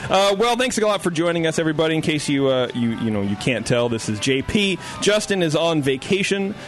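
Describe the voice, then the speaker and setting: A man's voice: fairly high pitch, very animated, a little fast, a bit bright, faint music behind. A man hosting a casual radio talk show in a studio, joking and rambling with fillers. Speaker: podcast host.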